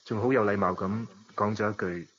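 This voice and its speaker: An actor playing a suave, romantic bachelor, measured and indulgent, in a Hong Kong Cantonese radio drama. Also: male voice, on the low side, steady tone, fairly bright tone, dull audio, close to an everyday voice. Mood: reflective. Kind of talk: radio drama dialogue and reflective narration.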